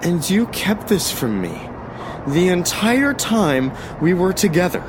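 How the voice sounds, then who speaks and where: A man playing a suspicious, exasperated police officer in an audio drama, incredulous and scolding in a halting way. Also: high voice, very animated, background noise, heavily acted. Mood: hurt, exasperated.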